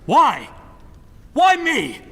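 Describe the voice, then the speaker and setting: Stern old-timey villager, high bright voice, slow and preachy, huge echo, radio drama. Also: male voice, huge pitch swings, full character voice. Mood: agitated protest. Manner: archaic, declamatory, indignant. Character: stern, defensive old-fashioned male villager using antique speech. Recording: long cavernous reverb.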